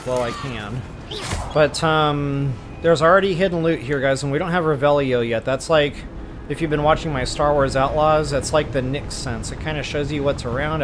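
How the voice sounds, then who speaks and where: A man's voice: fairly high pitch, noisy background. A man giving casual, slangy gameplay commentary as the host of a walkthrough. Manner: slangy, informal. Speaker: let's play walkthrough host.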